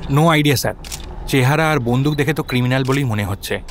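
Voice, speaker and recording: A man playing a sharp, deferential junior police officer in an audio drama, reporting analytically and mixing in English words. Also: medium pitch, brisk, a little hiss or hum, lightly acted. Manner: reporting to a superior, peppers speech with English. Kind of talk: detective audio drama acting.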